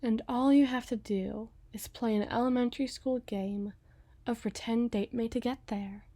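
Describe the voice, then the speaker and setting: A woman playing a cool, scheming, seductive mob boss in an ASMR roleplay, with a deliberate, theatrical delivery that often sinks toward a whisper. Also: on the high side, steady tone, warm tone, dry recording. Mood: coaxing, seductive.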